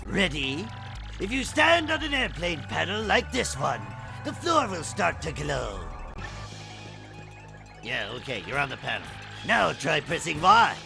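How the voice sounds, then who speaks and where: Gloating cartoon villain spirit, real swoopy creaky high voice, game music behind. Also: male voice, big pitch swings, unhurried, exaggerated voice. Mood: grudging explaining. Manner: gloating, theatrical. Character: gleeful taunting male curse-casting villain spirit. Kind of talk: cartoonish video game dub acting.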